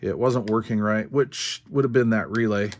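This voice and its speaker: An older man with an American accent and a fairly low voice. He talks through hands-on repair work casually and without hurry, thinking aloud in a plain, folksy way. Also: normal speed. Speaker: radio repair video host.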